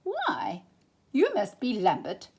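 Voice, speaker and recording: A polite, fussy male stork from a children's storybook, played by a woman. He speaks in a raised, lively pitch, announcing things properly and with emphasis, at an unhurried pace. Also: husky, full character voice. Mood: puzzled.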